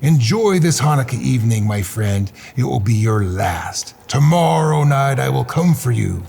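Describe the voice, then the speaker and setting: A man voicing a menacing, boastful king of the goblins for a storybook, slow and threatening, with a gravelly voice. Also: medium pitch, animated, darkish tone.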